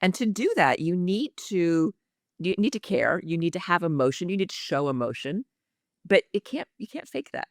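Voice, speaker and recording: A woman's voice: on the low side, sing-song, normal speed, no room sound, studio quality. A woman who is an executive communication coach speaks expressively and emphatically in a podcast interview, with the practiced delivery of a trained actress.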